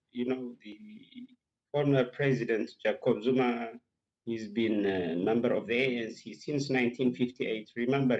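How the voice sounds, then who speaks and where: A man giving political commentary as an interview guest, speaking haltingly with many hesitations and repeats, heard over a muffled remote link. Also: medium pitch, a little mellow. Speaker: political analyst and academic guest.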